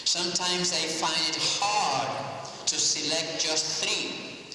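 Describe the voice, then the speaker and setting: A man acting as master of ceremonies, giving a measured, formal introduction at a school event. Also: fairly high pitch, fairly even intonation, pushed and bright, faint music behind. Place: large school hall. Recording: echoey hall from far off, faint hiss.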